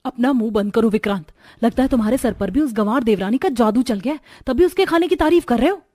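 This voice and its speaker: Woman acting a haughty high-voiced modern sister-in-law. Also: lively intonation, fast talker, mellow. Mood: defiant. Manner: scornful, mixes English into Hindi.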